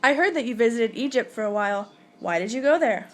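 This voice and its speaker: A woman's voice: on the high side, animated, fairly quick. A teenage girl reading prepared interview questions plainly for a school project.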